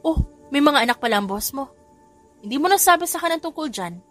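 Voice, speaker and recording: A woman narrator plays a cheerful young woman full of questions for her friend. She sounds lively and inquisitive, her pitch riding fairly high over quiet background music. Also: fairly quick. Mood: curious.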